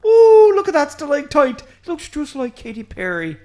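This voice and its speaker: A woman voicing a chatty, excitable Irish priest, a short man who rambles eagerly in an Irish accent in a comic audio drama. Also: high-pitched, normal speed. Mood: delighted. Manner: rambling, eager, easily distracted.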